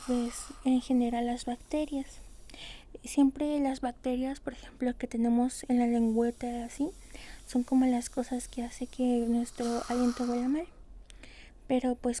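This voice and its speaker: A woman doing an ASMR roleplay as a dental hygienist, speaking softly and breathily in a tender, soothing way, close to the microphone. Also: sits high, a little hiss or hum. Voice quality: soft whispery, breathy. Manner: tender, soothing, uses many diminutives. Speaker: ASMR creator role-playing a dental hygienist.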